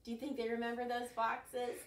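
An older woman leading an interactive storytime for young children as a children's librarian, speaking in an animated way with exaggerated questions. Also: a little high, fairly quick. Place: carpeted living room at home. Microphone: camera across the room. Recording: roomy, distant mic with some background noise.

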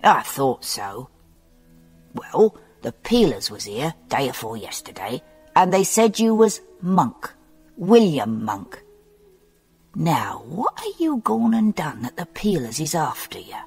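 A woman's voice: sits low, big pitch swings, takes it slowly, darkish tone, husky, heavily acted. A woman voicing a cheerful, patient male hospital orderly, chatty and good-humoured in an audiobook. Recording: long reverb with faint background music.

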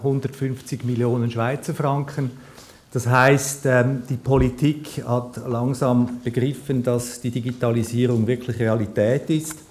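A middle-aged man giving a conference keynote at a lectern microphone in a large lecture hall, measured and dry, with frequent hesitation fillers. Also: mid-range voice, darkish tone. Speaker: keynote speaker, company CEO. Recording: very echoey hall with faint noise.

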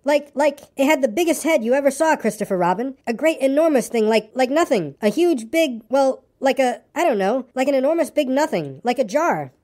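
A tiny storybook piglet, timid and easily worked up, performed by a woman reader. His voice is pitched high and anxious, tripping over words in excitable exclamations. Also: a little fast, few pauses. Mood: breathless, flustered. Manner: anxious, stammering, breathless exclamations. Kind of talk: children's storybook reading.

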